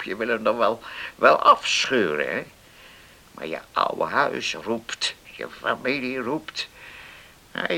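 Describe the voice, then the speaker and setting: A man's voice: mid-range voice, vocal fry, bright, forceful tone, exaggerated voice. A man acting an old seaman in a Dutch radio play, kindly and fatherly, hesitating and repeating his words as he gives counsel. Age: older.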